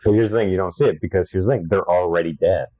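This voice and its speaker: A young man with a low voice co-hosting a casual podcast chat, an enthusiastic horror fan who rambles a little. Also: fast talker, warm tone, no echo. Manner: enthusiastic, self-described horror fanatic, rambling. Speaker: podcast co-host. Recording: dry, dull lo-fi sound.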